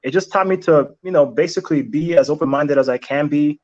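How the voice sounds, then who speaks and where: A young man talks through his answers in a relaxed interview, pausing and restarting as he gathers his thoughts. Italian is his first language. The audio is a little muffled and lo-fi. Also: on the high side. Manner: reflective, halting, many restarts and fillers. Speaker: interview guest. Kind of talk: casual interview chat. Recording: slightly dull lo-fi sound.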